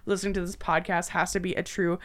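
A woman's voice: lowish voice, flat delivery, fast. A young woman hosting a podcast, chatting casually in an effusive, informal way. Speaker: podcast host.

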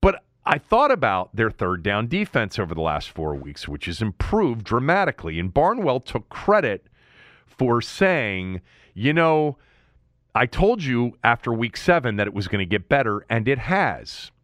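A man's voice: medium pitch, very animated, measured pace, a bit bright, dry recording, studio quality. A man hosting a sports talk show, explaining his analysis in an emphatic, conversational monologue, thinking aloud as he goes.

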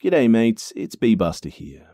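Australian male podcast host, low mellow voice, dry close sound, friendly casual intro. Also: sing-song, a little slow, dry recording.

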